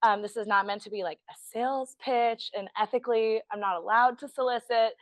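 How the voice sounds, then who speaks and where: Slightly hoarse woman attorney presenting. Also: on the high side, steady tone, fairly quick, no echo. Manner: friendly, self-deprecating, chatty with fillers. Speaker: estate planning attorney. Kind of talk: educational presentation to a group. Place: meeting room.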